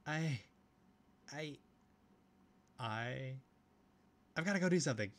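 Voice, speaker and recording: A man's voice: medium pitch, expressive, a bit bright, voice pushed up. A man reading as a stammering shy schoolgirl. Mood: flustered. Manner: stammering, halting. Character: shy timid teenage girl, stammering.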